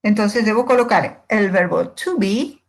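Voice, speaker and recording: Slow-paced woman teaching a grammar lesson. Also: mid-range voice. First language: Spanish. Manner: didactic, deliberate, methodical. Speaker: English teacher.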